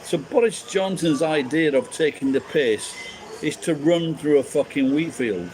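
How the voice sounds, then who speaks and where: A man's voice: a little high, unhurried, darkish tone, rough recording. A man from England with a Sheffield accent, ranting bluntly and swearing in casual chat. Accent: Sheffield English.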